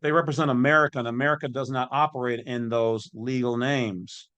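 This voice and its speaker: A man's voice: medium pitch, steady tone, a little fast, fairly bright tone. A man with a mid-range voice hosts his own commentary channel. He talks informally and off the cuff, in a folksy, colloquial way, and states his opinions freely. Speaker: host of an online legal commentary channel.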